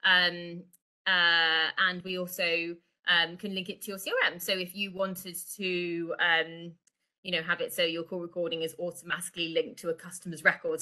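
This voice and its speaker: A middle-aged woman presents a product in a conversational, explanatory way on a video call. Her voice sits a little low for a woman but has a bright, forward edge. The delivery is informal, like an online sales walkthrough. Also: steady tone, brisk. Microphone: video call microphone.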